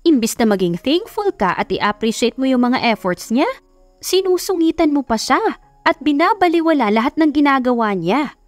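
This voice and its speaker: Woman voicing an earnest middle-aged mother, pitched high. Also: sing-song, moderate pace, no echo. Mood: pleading, reproachful. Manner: firm, emotional, pleading. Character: earnest, pleading middle-aged mother scolding her adult son. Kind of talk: dramatized audiobook romance reading.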